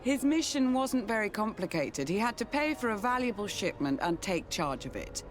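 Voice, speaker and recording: A woman's voice: mid-range voice, sing-song, moderate pace, natural voice. An actress playing a curt, sardonic businesswoman in a video game, with a brusque manner and dry sarcasm. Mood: sceptical, resigned. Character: curt, sardonic businesswoman, weary of her partner. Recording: game music under the voice. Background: music.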